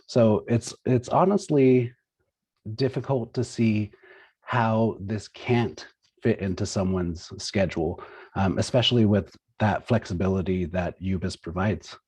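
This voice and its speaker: A male student giving a casual testimonial in a relaxed, earnest way, with many fillers. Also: on the low side, a little slow, breathy edge, rough recording.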